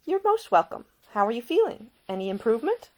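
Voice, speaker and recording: A woman's voice: fairly high pitch, very animated, brisk, pitched above the performer's own voice. A woman voicing a caring, earnest and proper young woman in a storybook, solicitous and polite. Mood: caring, brisk.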